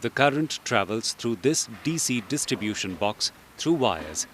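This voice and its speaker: A man reading scripted instructional narration in a measured, explanatory way. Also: medium pitch, sing-song, fairly bright tone, slight background noise. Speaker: training film narrator. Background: background music.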